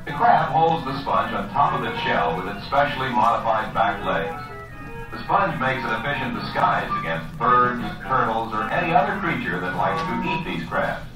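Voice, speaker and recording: A man's voice: mid-range voice, expressive, moderate pace. Echoey, distant male educational narrator. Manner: explanatory, addresses the viewer directly. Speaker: narrator of an educational nature film. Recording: echoey, distant, music behind.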